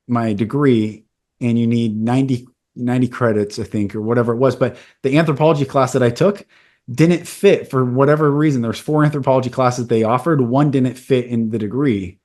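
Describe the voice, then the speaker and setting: A man's voice: medium pitch, darkish tone. Earnest man in his forties. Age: 40s. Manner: earnest, candid personal storytelling. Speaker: podcast host. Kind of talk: casual conversation.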